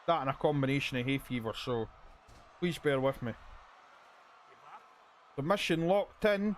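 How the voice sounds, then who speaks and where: A man with a hoarse voice commentating a video game wrestling match and hosting his channel, with an announcer's style. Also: lively intonation, normal speed, slight background noise. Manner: hype announcer, booming when calling the finish.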